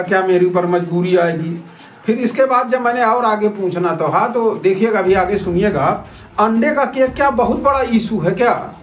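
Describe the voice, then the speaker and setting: A man giving informal, argumentative commentary to viewers, repeating himself and posing rhetorical questions. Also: high voice. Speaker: citizen journalist presenting a video report. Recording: roomy echo with music underneath.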